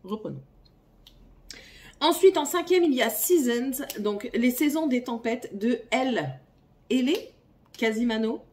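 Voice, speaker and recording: A woman talks about her reading list at home while fighting off a cold, her voice hoarse and a little rough. She sits a short way from the microphone, so she sounds slightly distant. Her delivery is chatty and apologetic. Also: on the high side, lively intonation, slow, pauses often, darkish tone. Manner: chatty, apologetic, coughing now and then. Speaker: book YouTuber. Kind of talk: casual reading-list chat, reading blurbs aloud.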